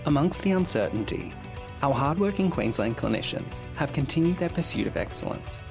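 Animated, gravelly male promo narrator over music. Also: a little high, a little fast. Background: guitar music.

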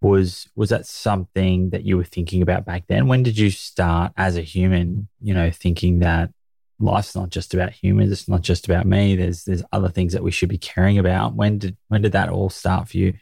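A man's voice: sits low, flat delivery, normal speed, creaky, dark tone, husky, no echo. A man hosting a podcast, asking questions with enthusiasm and frequent stumbling restarts. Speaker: podcast host. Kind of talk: interview questions.

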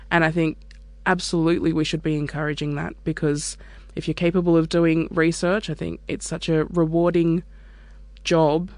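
A woman's voice: sits low, darkish tone, no echo. A woman, a research scientist speaking candidly and reflectively about her work in an interview. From Australia. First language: Turkish. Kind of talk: interview monologue.

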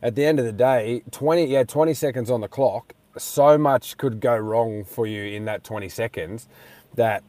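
A man's voice: mid-range voice, normal speed, dark tone, no room sound. A man co-hosting a radio show from his car, teasing and chatty with lots of fillers. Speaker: co-host, rugby league player. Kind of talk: casual radio chat.

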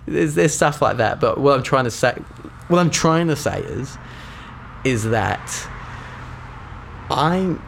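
A man's voice: medium pitch, lively intonation, slow, creaky, a little hiss or hum. A young man with a cold, co-hosting a podcast in an earnest, rambling way, often restarting his sentences. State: has a cold, voice deeper than usual. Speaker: podcast co-host. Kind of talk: reflective casual chat.

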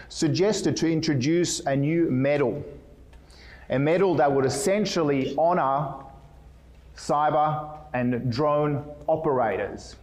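A man from Sweden with a Swedish-Australian accent, giving a fast, playful keynote talk at a conference. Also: fairly high pitch, a little mellow. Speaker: keynote speaker. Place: conference room.

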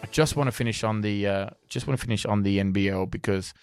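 A man's voice: fairly low pitch, fast, faint music behind. A man co-hosting a sports podcast in a casual, enthusiastic, rambling way, on a close mic. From Australia. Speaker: podcast co-host.

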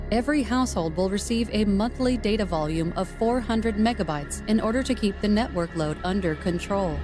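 A stern official announcer played by a woman reads an emergency broadcast in an audio drama. Her mid-pitched voice is formal and impersonal, with music clearly behind it. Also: natural voice. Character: stern female government announcer reading emergency decrees.

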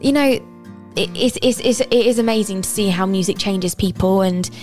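A woman's voice: medium pitch, normal speed, a little mellow, soft background music. A woman hosting a radio show, chatting casually with a guest, still getting her voice back after losing it. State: recovering from voice loss.

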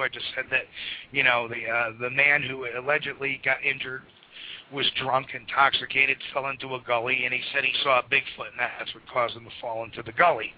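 A hoarse man hosting a casual call-in radio show, rambling with plenty of fillers and restarts. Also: mid-range voice. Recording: muffled lo-fi radio broadcast.

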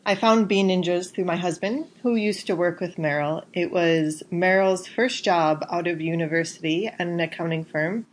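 A woman in her thirties from Chicago, a guest giving calm, measured interview answers. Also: on the low side. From Chicago, USA. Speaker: guest, accountant and yoga teacher.

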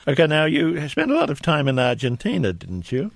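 This is a male radio host interviewing a guest in the studio. His voice is gravelly, and the sound is dry and close to the mic. He keeps the chat friendly and laughs readily. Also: on the high side, huge pitch swings, dry recording. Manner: chatty, friendly questioning, quick laughs.

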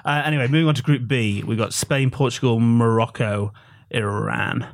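A man's voice: medium pitch, steady tone, normal speed, gravelly. A man hosting a casual sports podcast in a medium voice. He keeps the chat light and jokey and steers it from one topic to the next. He talks loosely and easily. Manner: jokey, leads the talk and hands over to guests. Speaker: podcast host.

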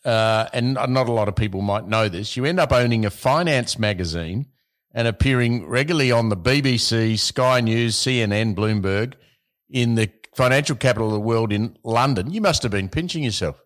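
A man, hosting an interview podcast in a measured, friendly way. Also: mid-range voice. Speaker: podcast host.

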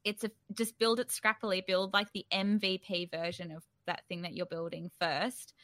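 Interview guest, woman. Forward, bright tone, very dry close sound, informal and encouraging. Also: medium pitch, flat delivery, moderate pace, dry recording. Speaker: startup founder, interview guest. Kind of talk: casual conversational interview.

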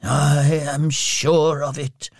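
A man voicing an anxious, remorseful miser in a storybook, halting and pleading. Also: on the high side, little pitch movement, vocal fry, no room sound, studio quality, full character voice. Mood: ashamed. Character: anxious, remorseful male miser humbled by visions.

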